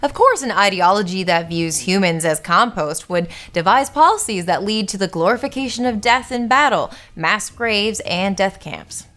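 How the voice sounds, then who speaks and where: A woman's voice: mid-range voice, animated, moderate pace, hardly any pauses, a bit bright. Wry woman narrating a film essay. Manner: wry, sarcastic asides. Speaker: video essay host. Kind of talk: scripted film analysis narration.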